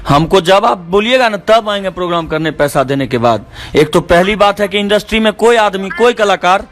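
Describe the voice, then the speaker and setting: A man's voice: high voice, animated, brisk, fairly bright tone. A man with a Bihari accent in an argumentative, insistent phone negotiation, speaking as a show organizer. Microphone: phone call. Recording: phone call recording with faint music.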